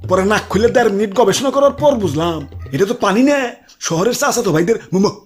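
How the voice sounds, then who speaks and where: A man's voice: high-pitched, expressive, fast talker. A man voicing a hapless, eager sidekick in a comic cartoon, telling silly mishaps in exaggerated Bangladeshi dialect over music. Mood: silly complaining.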